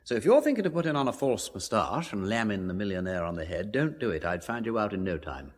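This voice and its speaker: An urbane, kindly aristocratic English sleuth in a radio play. His husky voice swoops up and down very widely with upper-class breeziness, ringing in a roomy hall. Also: fairly low pitch, sing-song, quick pace. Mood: breezy, sympathetic. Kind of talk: radio drama acting. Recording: reverberant hall-like room.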